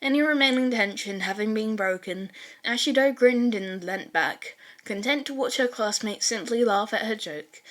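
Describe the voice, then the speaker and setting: A woman's voice: a little high, normal speed. A woman reading a fan fiction story aloud as scripted narration.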